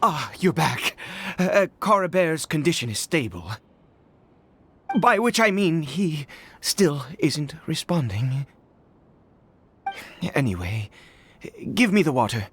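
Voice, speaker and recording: An actor playing a desperate, obsessive father and alchemist in a video game, speaking in a halting, stammering, agitated way. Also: fairly high pitch, very animated, a bit bright, a little hiss or hum, full character voice. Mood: anxious, curt. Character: desperate, obsessive father and alchemist tending his sick son. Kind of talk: dramatic video game voice acting.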